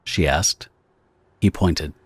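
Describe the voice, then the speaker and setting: A man's voice: rumbling low, slow, husky. A man narrating a pulp horror audio drama from a script. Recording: guitar music behind the narration. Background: plucked guitar music.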